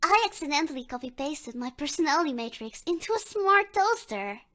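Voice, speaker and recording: A woman's voice: high-pitched, sing-song, fairly quick, a bit bright. A woman voicing a bubbly young female digital pop idol in a video game, with a perky, cute, theatrical delivery. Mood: chatty, teasing. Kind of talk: video game character dialogue.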